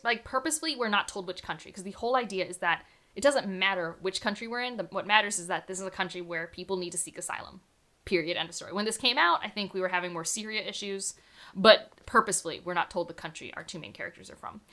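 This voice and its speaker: A woman's voice: medium pitch, sharp tone. A woman book vlogger chatting casually to camera, enthusiastic and full of "like" fillers. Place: home room surrounded by books.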